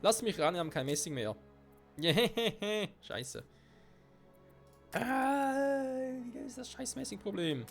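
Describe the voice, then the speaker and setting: A man's voice: high-pitched, lively intonation, takes it slowly, pauses often. A man with a cold giving casual gameplay commentary, swearing and thinking aloud to himself. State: stuffy-nosed with a cold. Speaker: let's play gamer. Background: faint game music.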